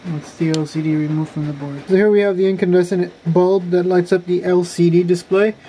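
A man calmly narrating a step-by-step electronics repair tutorial in a plain, methodical way. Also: high voice, fairly quick, background noise. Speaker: car electronics tutorial maker.

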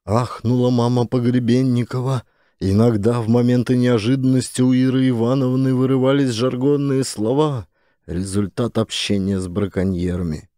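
Guy narrating a satirical audiobook, soft warm lowish voice, dry sound, faint music behind. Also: steady tone, normal speed, no room sound. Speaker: narrator, actor. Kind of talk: scripted audiobook narration, satirical family comedy.

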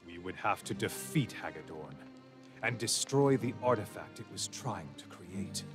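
An actor playing a grave adventurer narrating a quest in a fantasy video game, as a scripted storyteller. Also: male voice, low-pitched, lively intonation, normal speed, music in the background. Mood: grave, measured.

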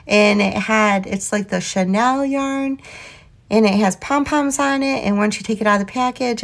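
A woman's voice: a little high, gravelly, a bit bright. Chatty woman with a fading voice. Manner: chatty, enthusiastic, laughs often. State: losing her voice. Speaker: craft channel host. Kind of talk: casual craft tutorial voiceover.

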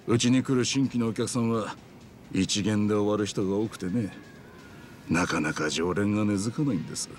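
A man's voice: lowish voice, natural voice. An actor playing a courteous, composed male chef of a traditional restaurant in a Japanese game dub, speaking politely and with measure. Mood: humble, reflective. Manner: measured, polite shopkeeper speech.

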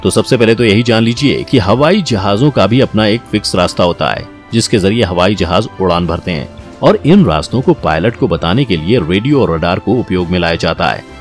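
A man's voice: on the low side, expressive, normal speed. A man reading a scripted documentary-style explainer, talking straight to the listener in an explanatory way. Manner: explanatory, addresses the listener directly. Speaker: narrator of an explainer video. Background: background music.

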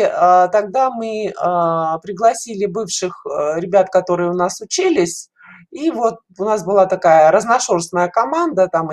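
A middle-aged woman explaining things conversationally as an interview guest, halting and often rephrasing, on a headset in a video call. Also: mid-range voice, a little mellow. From Russia. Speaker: guest, children's animation studio educator. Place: indoor room, video call.

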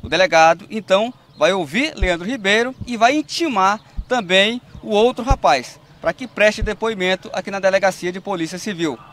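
A man in his thirties with a northern Brazilian accent reports from outdoors into a handheld mic. His voice sits high, and his delivery is formal with the odd restart. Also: normal speed, few pauses, fairly bright tone, a little hiss or hum. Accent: Brazilian Portuguese, northern Pará. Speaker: field reporter. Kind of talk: news report on location. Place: outdoors beside a police truck.

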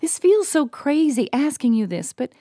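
An actress playing an earnest, gracious middle-aged American missionary singer with a husky voice in a family audio drama. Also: female voice, high voice, big pitch swings, normal speed, warm tone, no room sound, studio quality. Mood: hopeful, tentative.

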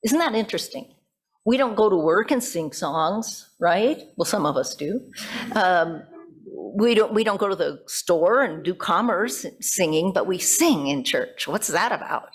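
A woman's voice: lowish voice, lively intonation, measured pace, husky. A middle-aged American woman, a pastor, giving a reflective, conversational sermon at a church pulpit microphone. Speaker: pastor preaching. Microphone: gooseneck pulpit microphone.

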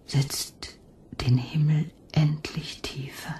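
A woman playing a weary, sick woman in a radio drama, reciting solemnly in drawn-out whispers. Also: deep voice, level tone, warm tone. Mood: weary, bitter. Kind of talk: slow poetic German radio drama.

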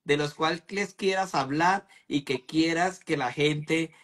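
Casting note: jovial male host, late 50s, slightly high voice, phone video call. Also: unhurried, fairly bright tone. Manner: affirming, jovial, many "ujú" backchannels. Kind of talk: casual live interview. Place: home room.

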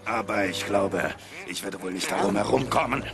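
An actor playing a cold, scornful, ill-tempered old miser in an animated film, with curt, sneering delivery. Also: male voice, lowish voice, normal speed, vocal fry. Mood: grudging, indignant. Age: older.

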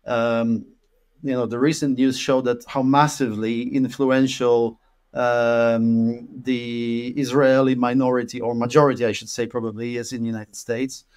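A man's voice: mid-range voice, flat delivery, a little slow, a little mellow. Man from poland, thirties, rambling guest talk, webcam call from home. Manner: rambling with many fillers and repeated words. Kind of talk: casual interview conversation. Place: room at home with an orange wall.

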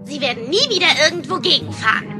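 High, lively cartoon inventor. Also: female voice, quick pace, music in the background. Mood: confident. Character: cheerful, confident female animal inventor in cartoon.

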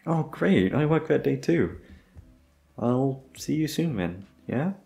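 A man voicing a flirty, encouraging grocery store coworker in an ASMR roleplay, gentle and coaxing. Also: mid-range voice, lively intonation, slow, creaky, faint music behind, voice pushed up. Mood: encouraging, sweet. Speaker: voice actor.

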